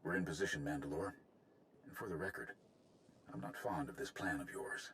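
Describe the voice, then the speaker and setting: An actor playing a gruff, skeptical male military commander in a game cutscene, with a grave, blunt delivery. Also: extremely low pitch, brisk, fairly bright tone, slight background noise. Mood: grim, disapproving. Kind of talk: scripted game cutscene acting.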